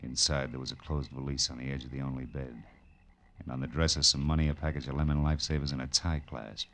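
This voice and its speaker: A man's voice: very deep voice, flat delivery, quick pace, fairly bright tone, slight background noise. A man playing a tough, wry private detective in a terse, dry, hardboiled 1940s radio drama style. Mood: wry narration. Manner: terse, dry, world-weary. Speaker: lead actor and narrator.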